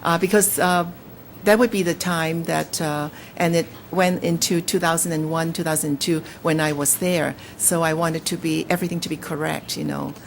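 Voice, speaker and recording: A woman's voice: sits low, vocal fry, a little hiss or hum. A woman from Hong Kong whose first language is Cantonese, a novelist reading a passage from her book and then answering interview questions with hesitant fillers. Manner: measured, lively when reading, hesitant with fillers in talk. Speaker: novelist guest.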